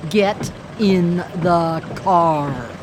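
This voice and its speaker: An actress playing a brazen, needling hitchhiker turned vigilante avenger in a live radio drama, sardonic and in control. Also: female voice, lowish voice, very slow, noisy background. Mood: cold, menacing. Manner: taunting, sardonic, cool and in control.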